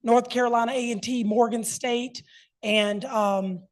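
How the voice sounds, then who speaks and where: A woman's voice: a little high, fairly even intonation, measured pace. A woman speaking as an academic panelist, giving an unscripted, conversational and emphatic answer.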